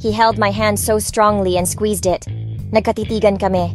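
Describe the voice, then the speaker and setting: A young woman narrating a first-person romance audiobook, expressive and switching between two languages. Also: medium pitch, moderate pace, soft background music.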